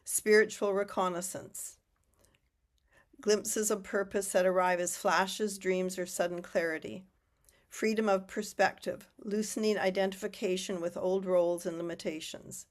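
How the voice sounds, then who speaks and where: A woman reading a prepared text aloud on a video call at a steady, measured pace. Her voice has not fully come back after she lost it. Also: mid-range voice.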